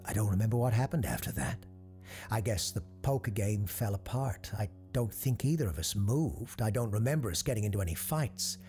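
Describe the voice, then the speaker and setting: A man acting as the grave, measured narrator of an eerie audio drama about a haunted attraction. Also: fairly low pitch, a little mellow. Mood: wistful, unsettled. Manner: measured, deliberate, storytelling.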